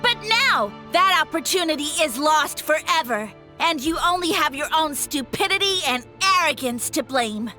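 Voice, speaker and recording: A woman's voice: sits high, very animated, normal speed, hardly any pauses, pushed and bright, heavily acted. A woman voicing a haughty, scheming mushroom villain who poses as a god, pompous and theatrical, in a video game. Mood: grandiose, scornful. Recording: music behind the voice.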